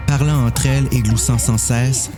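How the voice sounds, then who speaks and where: A man with a Quebec French accent reading a suspense story in a measured, deliberate way as the narrator of an audio drama. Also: medium pitch, fairly even intonation, music in the background.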